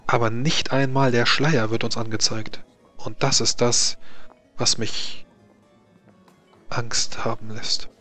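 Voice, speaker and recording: A man playing a grave, worried court mage in a tabletop game, explaining things in a formal, halting, deliberate way. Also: medium pitch, level tone, sharp tone, faint music behind. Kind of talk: tabletop roleplay in character.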